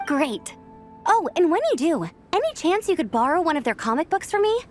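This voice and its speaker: High-voiced perky young staffer. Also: female voice, sing-song, a little fast. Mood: friendly, excited. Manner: upbeat, chatty. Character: perky, friendly young female contest staffer. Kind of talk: video game voice acting. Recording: faint music behind the voice.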